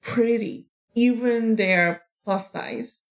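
A woman from South Korea with a Korean accent, a native Korean speaker answering interview questions casually and haltingly, searching for words. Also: a little high. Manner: halting, searching for words in second-language English. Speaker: interview guest, plus-size model.

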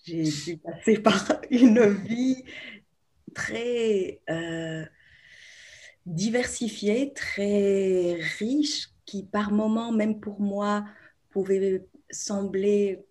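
Slow, mellow-voiced woman in her forties. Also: mid-range voice, fairly even intonation. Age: 40s. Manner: slow, searching, many hesitations. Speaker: therapist guest. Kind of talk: reflective interview answers.

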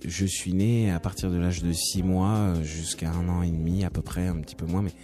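A musician guest, a man from France, talking in a relaxed, chatty way with many restarts. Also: low-pitched, a little fast, soft background music. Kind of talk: casual interview talk.

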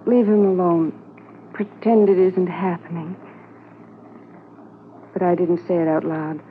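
An actress playing a stunned, quietly grieving policeman's wife, speaking plainly in a 1950s radio drama. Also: fairly low pitch, fairly even intonation, unhurried, lightly acted. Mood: numb recollection. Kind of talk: 1950s radio drama acting, first-person narration. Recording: muffled, slightly noisy 1950s radio transcription.

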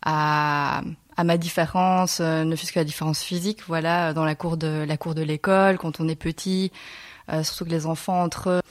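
Low-voiced woman, reflective. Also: little pitch movement, dry recording, clean studio sound. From Belgium. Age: 30s. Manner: reflective, pauses often to find her words. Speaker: guest. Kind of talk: personal storytelling interview.

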